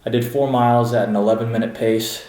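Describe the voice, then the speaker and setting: A man's voice: mid-range voice, level tone, quick pace, a little mellow. A man in his twenties chatting casually in a rambling, loose vlog style, full of fillers and restarts. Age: 20s. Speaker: vlogger training for a triathlon. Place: in a car while driving home, later at home. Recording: car and road noise behind the voice.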